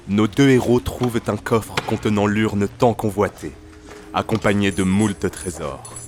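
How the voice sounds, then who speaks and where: A man's voice: fairly low pitch, a little hiss or hum. A man narrating a fantasy audio drama in a literary, solemn storytelling manner.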